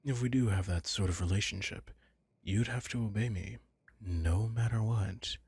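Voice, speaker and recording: A man playing a soft-hearted but possessive young mafia heir in an intimate ASMR roleplay, speaking gently and haltingly in a husky, breathy voice. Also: lowish voice, no room sound, heavily acted. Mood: awkward, coaxing. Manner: halting, gentle, apologetic, slipping into whispers. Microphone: close, dry microphone.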